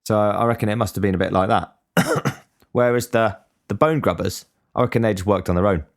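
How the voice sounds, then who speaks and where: A man's voice: lowish voice, brisk, gravelly. A young man giving a blunt, sweary casual monologue as a podcast host. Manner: blunt, swears freely, ranting.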